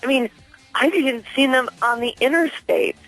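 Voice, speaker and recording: A woman's voice: high-pitched, normal speed, a bit bright, faint music behind. A woman phoning a radio station as a listener, making an earnest, insistent complaint in a hesitant, spontaneous way. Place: phone call into a radio station. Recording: dry telephone line to radio studio.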